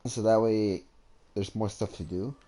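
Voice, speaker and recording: A man's voice: lowish voice, fairly even intonation, unhurried, mellow. A man giving casual gameplay commentary as a streamer. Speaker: game streamer.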